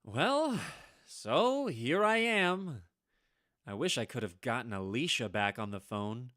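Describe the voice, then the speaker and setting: A male actor plays a haunted husband in an old-time radio horror play, muttering and stammering in agitation. The delivery is very slow with wide swings of pitch, and the tone is bright and forceful. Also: animated, dry recording, studio quality. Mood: brooding muttering. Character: frantic, haunted husband armed against a witch, muttering to himself. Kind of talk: vintage radio horror acting.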